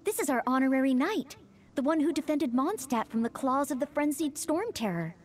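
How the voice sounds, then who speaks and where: An eager, high-voiced young maid. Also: female voice, big pitch swings, a little fast. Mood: courteous. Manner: courteous, apologetic, earnest. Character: polite, eager young female maid aspiring to knighthood. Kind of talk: anime-style video game acting.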